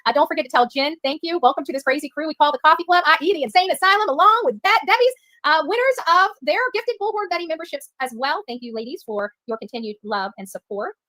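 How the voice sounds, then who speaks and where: A woman's voice: sits high, animated, quick pace, bright, forceful tone, no room sound. A woman hosting a rambling livestream and chatting to viewers, emphatic and prone to repeating herself. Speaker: livestream host reading viewer chat. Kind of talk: rambling live-stream chat.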